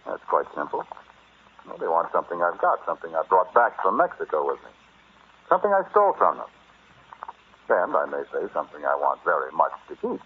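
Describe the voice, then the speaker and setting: A man's voice: low voice, huge pitch swings, brisk, long pauses, husky, full character voice. An actor in an old-time radio drama playing a flippant, stubborn explorer husband who hides his fear, telling his tale in a wry, conversational way. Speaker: narrator and lead actor. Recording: muffled, noisy vintage radio recording.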